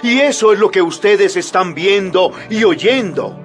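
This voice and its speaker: A fervent apostle in a dramatized audio Bible. His fairly high voice swings widely as he declaims boldly and emphatically to his listeners, with music playing clearly behind him. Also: animated, a little slow, a bit bright, full character voice. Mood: earnest, firm. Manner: declamatory, emphatic public address. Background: background music.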